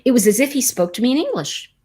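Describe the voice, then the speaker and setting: A woman's voice: on the high side, big pitch swings, fast talker, a little mellow, breathy edge. An American woman hosting a tarot talk, telling stories in a rambling, chatty way with many restarts. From United States. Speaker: tarot teacher and host. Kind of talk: casual storytelling livestream.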